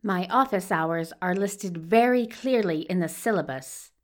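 A woman playing a stern, pedantic male university professor in an audio drama, with a curt and deliberate delivery. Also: on the low side, lightly acted. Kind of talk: audio fiction acting.

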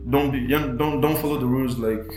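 A man's voice: medium pitch, fairly even intonation, brisk, darkish tone, reverberant, low-quality recording. A Swahili-speaking man from Tanzania, a podcast guest talking casually about design, often repeating words and restarting.

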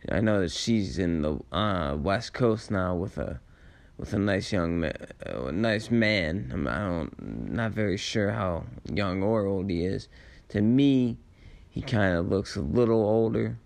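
A man with a raspy voice, tired late in the day, telling personal stories in a casual, rambling, halting and reflective way with laid-back slang. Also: low-pitched, expressive, a little mellow. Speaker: storyteller sharing personal memories.

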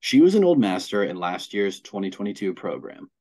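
A man's voice: on the low side, big pitch swings, brisk, mellow. A young man co-hosting a student podcast, reading intros and upbeat in his ad-libs. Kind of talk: scripted intro, casual chat.

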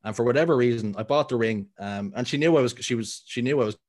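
A man's voice: mid-range voice, fast talker, darkish tone. A man of thirty with a Dublin Irish accent, chatting casually as an interview guest, rambling with many ums and restarts. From Ireland. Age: 30s. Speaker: guest, content creator.

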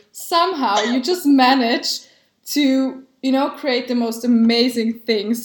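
A woman interviewer with a slightly high voice asks questions in a friendly, complimentary way, laughing often. Her voice sounds roomy, with noticeable echo around it. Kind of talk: casual interview chat.

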